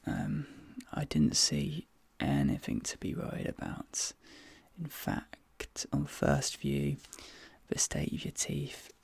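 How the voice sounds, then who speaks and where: A man softly whispering and murmuring in a soothing, reassuring ASMR dentist roleplay, with breathy delivery and hesitant pauses, close to the microphone. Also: mid-range voice, very animated, no room sound. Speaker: ASMR performer role-playing a dentist. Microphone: close microphone, dry.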